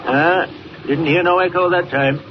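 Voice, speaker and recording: A man acts out an old icebreaker captain for a 1940s radio serial. He is folksy and superstitious, with rustic dialect and a high-pitched voice. The sound is dull and thick with old broadcast noise. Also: fairly even intonation, moderate pace, lightly acted. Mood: folksy, wary. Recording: muffled, very noisy 1940 radio recording.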